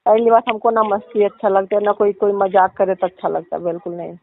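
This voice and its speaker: A woman's voice: mid-range voice, quick pace. A woman chatting casually on a private phone call, answering in a curt, rebuffing way. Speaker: caller, sister-in-law. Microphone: phone call recording. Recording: thin, band-limited phone line.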